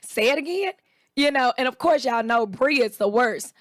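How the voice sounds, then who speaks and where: A woman with a raspy, slightly hoarse voice, chatting casually as co-host and acting out the people in her stories. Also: high-pitched, moderate pace, no echo. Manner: animated storyteller, quotes people in raised voices. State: recovering voice, a bit hoarse. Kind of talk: casual chat.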